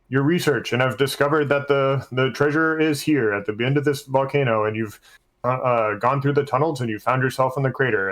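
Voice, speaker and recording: A man's voice: medium pitch, fairly bright tone. Male podcast game master, mid-range voice, chatty and rambling, swears freely in loose conversation. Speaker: works at a school. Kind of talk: casual group discussion of tabletop gaming.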